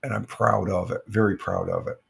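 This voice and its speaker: A man's voice: low voice, huge pitch swings, fairly quick, creaky, breathy edge. An older American man hosting a casual livestream chat, rambling with many fillers and restarts. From United States. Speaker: livestream host.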